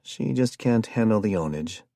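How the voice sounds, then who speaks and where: A man voicing an excitable, geeky young man who rambles in a high, theatrical voice. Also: moderate pace, vocal fry, no room sound, clean studio sound. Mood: rambling.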